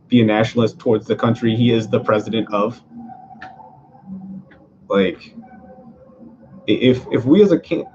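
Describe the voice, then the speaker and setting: A man's voice: mid-range voice, a little mellow, dull audio, a little hiss or hum. A man from Venezuela talking casually about politics as a podcast host, rambling through many fillers and restarts in his apartment.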